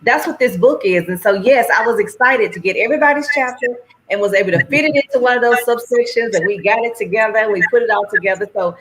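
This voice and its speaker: A middle-aged woman chatting on a livestream, telling stories with animated, folksy phrasing. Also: fairly high pitch, fast, noisy background. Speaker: anthology organizer and guest. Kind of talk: casual conversation on a livestream.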